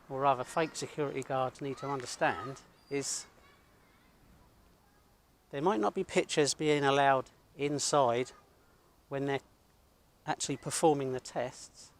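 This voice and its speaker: A middle-aged man hosting a vlog monologue, speaking in blunt, grumbling, deliberate phrases. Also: on the high side, a little hiss or hum. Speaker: host of an online video channel.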